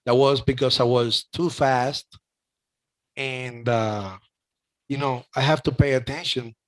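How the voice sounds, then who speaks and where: A man's voice: mid-range voice, steady tone, slow pace. A middle-aged man with a Cuban accent hosting a casual chat, talking emotionally with many restarts. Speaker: host.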